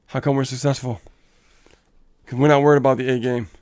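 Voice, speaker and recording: A man's voice: medium pitch, flat delivery, brisk, darkish tone. A man co-hosting a casual live coaching stream, upbeat and motivational, laughing often and reading viewer comments aloud.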